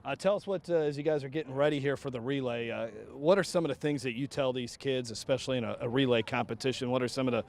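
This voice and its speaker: A man interviewing people on site for a local broadcast outdoors at a youth track meet, upbeat and quick with follow-up questions. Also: mid-range voice, darkish tone. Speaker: sports event interviewer.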